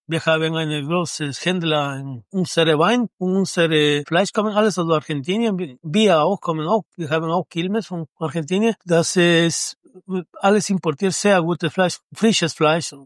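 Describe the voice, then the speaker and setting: A middle-aged man from Ecuador with a Spanish accent and Spanish as his first language, a restaurant owner speaking halting, broken German with many restarts in a casual interview. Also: a little high, dry recording, studio quality.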